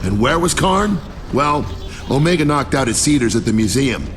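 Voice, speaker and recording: A man's voice: medium pitch, sing-song, moderate pace, background noise, lightly acted. A man playing a terse, cocky squad lieutenant in a video game cutscene. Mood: grim, reflective. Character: male squad lieutenant, terse, cocky soldier recounting a battle.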